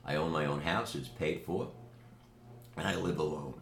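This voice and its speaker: A man's voice: very deep voice, creaky. An older man from New York City with a New York accent, recording a boastful, emphatic video message at home. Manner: boastful, emphatic, slow deliberate delivery. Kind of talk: direct-to-camera video message. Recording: echoey room with faint ambient noise.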